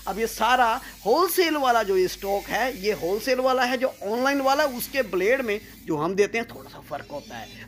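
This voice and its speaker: Man selling swords on a workshop tour. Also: high-pitched. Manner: addresses viewers as friends, salesman patter. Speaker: sword shop owner giving a factory tour. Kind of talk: walking tour vlog, promotional. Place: indoor workshop and storeroom.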